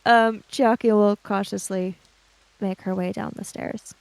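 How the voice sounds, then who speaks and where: A woman's voice: mid-range voice, steady tone, a little slow, creaky, a little mellow, no room sound, rough recording. A woman roleplaying in a tabletop game, speaking hesitantly and narrating what her character does. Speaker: player in a tabletop roleplaying podcast.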